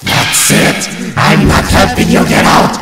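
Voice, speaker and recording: A performer voicing a bombastic cartoon creature in a comic dub, shouting and melodramatic. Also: a little slow, natural voice. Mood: exasperated. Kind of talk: comic dub character acting. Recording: echoey, with loud music behind.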